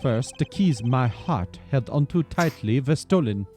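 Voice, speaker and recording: Suave rock-star prosecutor voiced by a man, smooth, theatrical, animated pitch, faint music. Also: measured pace, close to an everyday voice. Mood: smug.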